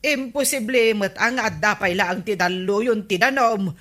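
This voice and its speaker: A woman's voice: medium pitch, measured pace, a bit bright. A woman playing an exasperated, scolding wife in an Ilocano radio comedy drama.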